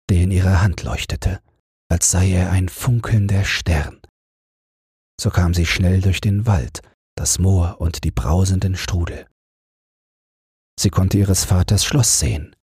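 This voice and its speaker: A man reading a fairy tale as a bedtime audiobook in a measured, soothing storytelling manner. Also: low-pitched, a little mellow, breathy edge, dry recording, studio quality. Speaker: audiobook narrator.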